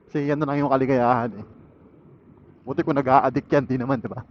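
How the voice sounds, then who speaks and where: A man's voice: medium pitch, fairly even intonation, fairly quick. A Filipino man casually vlogging to himself while riding, in a laid-back, musing way, speaking into a helmet intercom. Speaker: motorcycle vlogger. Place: outdoors, riding a motorcycle on a city road. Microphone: motorcycle helmet intercom mic. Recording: dull helmet intercom audio, faint road noise.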